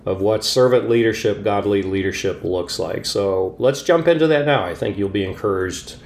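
A man in his sixties, from Papua, hosting a podcast in a relaxed, rambling way with many pauses and restarts. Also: fairly low pitch, creaky. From Papua, Indonesia. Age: 60s. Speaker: podcast host. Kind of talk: casual interview chat.

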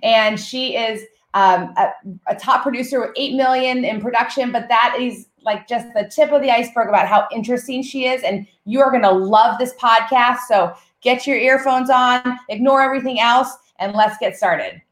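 A woman hosting a podcast, warmly effusive and enthusiastic as she welcomes and introduces her guest. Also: fairly high pitch, moderate pace. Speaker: podcast host. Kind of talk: casual podcast interview. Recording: roomy, echoey sound.

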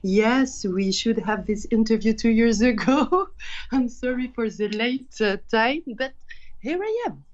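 A woman from France, a belly dancer and podcast guest, answering thoughtfully and haltingly as she searches for words. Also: a little high, lively intonation, highs cut off. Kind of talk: interview answers.

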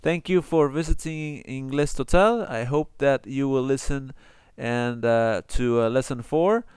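A man's voice: mid-range voice, darkish tone, clean tone, no room sound, clean studio sound. A man teaching an online English lesson, explaining patiently with many fillers. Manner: switches between Spanish and English, explains slowly with fillers.

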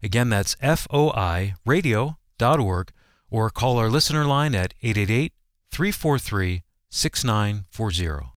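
A male radio announcer with a fairly low voice delivers a scripted promo. His intonation is animated and his words are measured and distinct, recorded dry and close. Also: no room sound.

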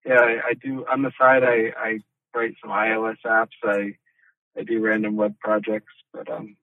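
A man's voice: fairly low pitch, completely flat delivery, normal speed, dry recording. A man answering interview questions over a call, hesitant, with lots of restarts and fillers. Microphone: phone call. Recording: tinny, distant phone line.

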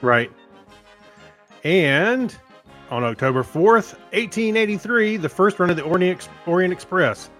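A man's voice: sits high, expressive, a little slow, a bit bright, faint music behind. A man co-hosting a podcast, chatting casually and reading items aloud. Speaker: podcast co-host.

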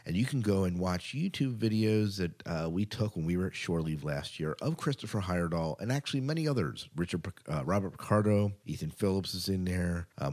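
A man with an American accent and a notably low voice hosts a podcast. He talks informally and chattily, sometimes doubling back to repeat a phrase. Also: a little mellow. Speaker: podcast host. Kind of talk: casual podcast chat and show rundown.

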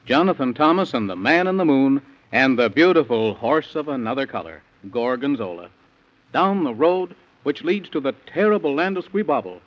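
Male announcer, 1930s serial narration, expressive intonation with a dull vintage radio sound. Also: medium pitch, moderate pace.

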